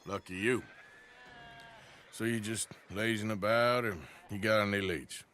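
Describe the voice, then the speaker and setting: Low, sardonic American outlaw. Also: male voice, steady tone, a little slow, pushed and bright, slight background noise. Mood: teasing. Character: wry, tough male outlaw gunman of the Old West. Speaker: actor in a video game cutscene. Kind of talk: cinematic western game acting.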